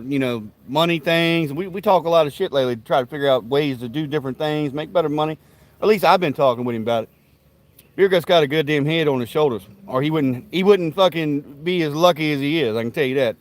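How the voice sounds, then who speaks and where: Rowdy, cussing backyard live-streamer. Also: male voice, fairly high pitch, fast talker. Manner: profane, rowdy trash talk and folksy banter. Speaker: livestream host. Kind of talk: rambling live-stream chat with viewers. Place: outdoors at a backyard grill.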